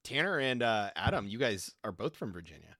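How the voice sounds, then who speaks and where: A man hosting a cast Q&A podcast, laid-back and quick to laugh as he asks questions. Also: on the low side, very animated, bright, forceful tone, no echo, studio quality. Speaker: podcast host and game master.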